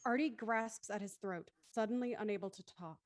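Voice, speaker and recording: A woman's voice: medium pitch, normal speed, no echo, studio quality, close to an everyday voice. A woman narrating an audio thriller in scripted present-tense prose.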